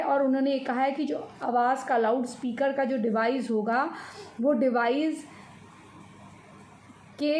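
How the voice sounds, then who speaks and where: A woman with an Indian accent giving informal news commentary, explaining in a halting, repetitive way and mixing in English words. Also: high-pitched, a little mellow. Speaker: commentator on social and religious news. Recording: echoey room with faint noise.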